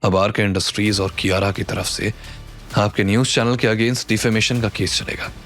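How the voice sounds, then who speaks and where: Man playing a low, commanding, menacing business tycoon. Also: fairly even intonation, fairly bright tone. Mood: cold, triumphant. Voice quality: low, controlled. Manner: slow, confident, authoritative. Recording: background music under the voice.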